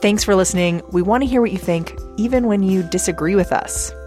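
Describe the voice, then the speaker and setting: A woman's voice: medium pitch, fairly quick, darkish tone, faint music behind. A woman radio host delivering scripted narration and interview questions.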